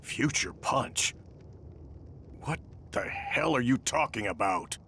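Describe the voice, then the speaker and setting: A man voicing a gruff, gravelly alien soldier in an anime game. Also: medium pitch, animated, takes it slowly, background noise. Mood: baffled, hostile. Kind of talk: anime game dramatic acting. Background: music.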